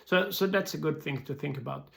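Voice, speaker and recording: A man with a Swedish accent giving an informal explanatory business talk in halting, hesitant non-native English phrasing. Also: on the high side, gravelly. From Sweden.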